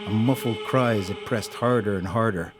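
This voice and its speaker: Low-voiced male story narrator. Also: normal speed. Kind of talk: scripted dramatic story narration. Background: music.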